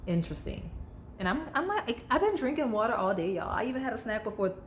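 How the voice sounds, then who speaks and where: A woman giving a casual tarot reading to camera, chatty and rambling, with her voice going slightly hoarse. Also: fairly high pitch, big pitch swings, fast talker, slight background noise. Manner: chatty, rambling, heavy use of "like", addresses viewers directly. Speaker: tarot reader.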